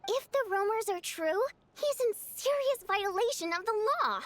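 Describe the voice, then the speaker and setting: A woman's voice: very high-pitched, lively intonation, normal speed, a bit bright. A woman playing a haughty, indignant young Fae theater performer in a video game, with a theatrical, probing manner.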